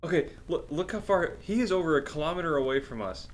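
A young man who talks loudly and casually as a podcast co-host. He swears freely and reacts out loud to the game he is playing. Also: on the high side, expressive, fast talker. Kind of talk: casual chat while gaming.

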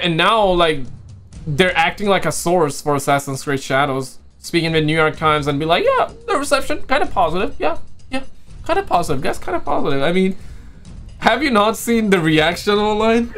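A man's voice: high-pitched, fairly bright tone. A man reacts on stream from a home room through a headset, with faint music playing under his voice. He speaks English as a second language, leans on slang and repeats his punchlines mockingly. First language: not English. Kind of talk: casual reaction commentary.

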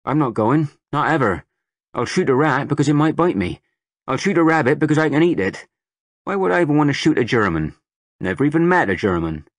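A man's voice: a little high, brisk, no room sound, pitched above the performer's own voice, natural voice. A man doing a sullen, muttering teenage farm boy. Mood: scornful. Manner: dismissive, flat muttering.

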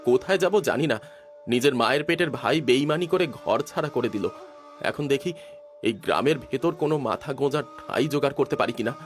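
A man's voice: mid-range voice, animated, brisk, faint music behind, lightly acted. A man playing a humble, grateful young village father in an audio drama, earnest and deferential in manner. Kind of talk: Bengali audio story acting.

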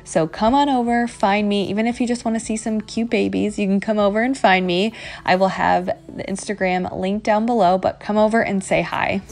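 A woman's voice: medium pitch, steady tone, normal speed, a little mellow. A woman in her thirties giving a casual voice-over as a home cleaning vlogger, talking directly to viewers. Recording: music playing behind voice. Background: background music.

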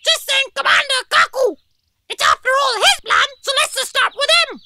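Squeaky, cheeky little creature. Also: female voice, lively intonation, moderate pace, pushed and bright, a little hiss or hum, full character voice. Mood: taunting. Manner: slangy, taunting. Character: small, cheeky, sarcastic cartoon forest creature.

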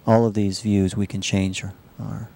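Male technical demonstrator, low voice with plenty of fry, halting and explanatory. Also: flat delivery, warm tone, slight background noise. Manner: halting, measured explanatory delivery. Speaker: software researcher demonstrating a system. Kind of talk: live demo narration of a computer animation.

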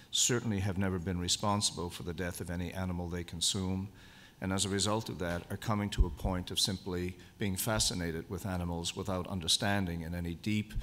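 Man, very deep voice, formal lecture, measured and emphatic, long hall reverb. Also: a bit bright. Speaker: conservation speaker at a convention.

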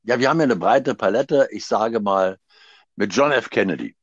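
A man's voice: lowish voice, expressive, moderate pace, breathy edge, no echo. A man in his seventies, a guest actor talking by phone; he hesitates and searches for his words. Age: 70s. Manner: hesitant, laughing, hunting for words. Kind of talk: phone interview. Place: home, on the phone. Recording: dull phone line, dry.

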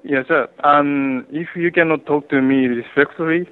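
A man's voice: fairly high pitch, normal speed, clean tone, dry recording. Formal man on a thin phone line. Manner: formal, courteous but firm. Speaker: restaurant floor manager. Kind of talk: customer service phone call.

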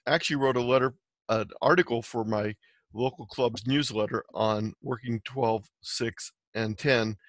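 A man's voice: mid-range voice, fairly bright tone, no echo. Man presenting slides in a dry recording. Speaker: amateur radio presenter. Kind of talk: slide presentation, explanatory lecture.

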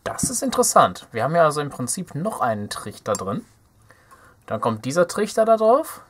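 A man's voice: a little high, very animated, brisk, breathy edge. A young man giving casual hands-on commentary as he works at an indoor bench, thinking aloud and muttering playfully to himself. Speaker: DIY electronics video host. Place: indoor workbench.

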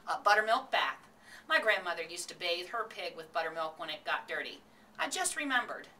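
Woman acting a forceful, no-nonsense farmer's wife. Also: lowish voice, expressive, fairly quick, distant mic, slight background noise. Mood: decided. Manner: emphatic, matter-of-fact.

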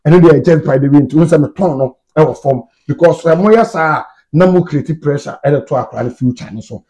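Higher-pitched Ghanaian man, chatty. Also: brisk, dark tone. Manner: conversational, mixes Twi and English. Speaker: football fan channel host. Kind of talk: casual football news commentary to camera. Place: small indoor room at home.

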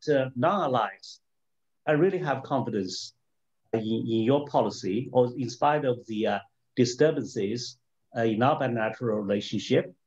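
Male panelist, Chinese accent, medium pitch, halting and explanatory policy argument, muffled low-fidelity webinar sound. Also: darkish tone. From China. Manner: halting, explanatory, with rhetorical questions. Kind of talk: extemporaneous argument in a policy discussion.

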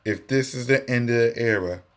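An American man in his thirties giving a mocking, repetitive, drawn-out sports fan taunt, recorded on a phone indoors. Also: mid-range voice, fairly bright tone. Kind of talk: taunting fan vlog, casual trash talk. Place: small room indoors at home. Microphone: phone at arm's length.

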